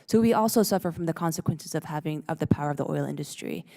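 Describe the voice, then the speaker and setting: A woman's voice: low voice, quick pace, vocal fry, mellow. A woman from California testifying at a hearing, firmly holding her point. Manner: firm, holds her point, repeats it. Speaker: hearing witness. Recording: hearing room with long reverb.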